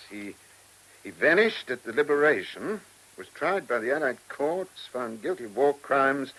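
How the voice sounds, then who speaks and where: A chief inspector in a radio drama. His voice is husky and lively, moving freely in pitch, with a dry, testy, sardonic edge as he works a murder case. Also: rough recording. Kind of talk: radio play acting.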